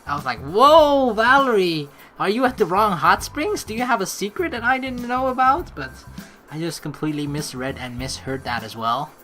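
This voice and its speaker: A woman's voice: fairly low pitch, a bit bright. A young woman doing casual, sweary let's play commentary and reading a visual novel's narration aloud. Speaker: let's player.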